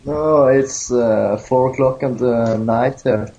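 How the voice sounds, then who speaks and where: Halting male caller. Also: medium pitch, dark tone, dull audio. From Norway. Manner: halting, teasing.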